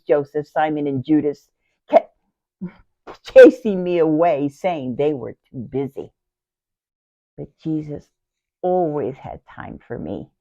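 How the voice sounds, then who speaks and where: A woman playing a devoted, grieving youngest sister in a theatrical, deliberate Bible monologue that moves between hushed tones and outcries. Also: low voice. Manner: theatrical, deliberate, swinging from hushed near-whispers to loud outcries. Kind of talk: dramatic first-person Bible monologue. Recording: dull, lo-fi sound.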